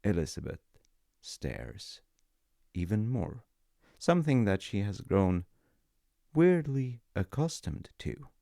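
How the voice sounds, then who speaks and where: A man with a very low voice tells a story at a slow, halting pace, as if to his own child. His delivery is intimate and wry, with dramatic pauses, and the sound is dry and close. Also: vocal fry, mellow, no room sound. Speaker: audio roleplay storyteller playing a dad. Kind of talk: intimate storytelling roleplay, ASMR.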